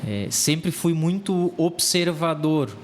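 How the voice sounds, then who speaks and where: Halting southern Brazilian man in his thirties. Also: a little high, lively intonation, a little hiss or hum. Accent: Rio Grande do Sul Brazilian Portuguese. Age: 30s. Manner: hesitant, repeats words while searching. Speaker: real estate agency owner, podcast guest. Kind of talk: casual interview talk.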